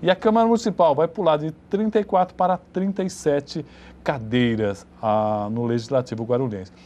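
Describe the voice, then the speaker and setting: A man's voice: a little high, normal speed, darkish tone. A middle-aged man hosting a TV interview show in a conversational, rambling way. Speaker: TV talk show host. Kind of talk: interviewing guests in a studio. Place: small TV studio.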